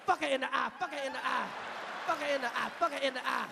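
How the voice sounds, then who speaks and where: A man in his thirties performing a loud stand-up comedy set on stage, shouting punchlines and chanting lyrics. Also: very high voice, fast, vocal fry. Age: 30s. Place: large venue on stage. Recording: live hall with loud crowd and noise.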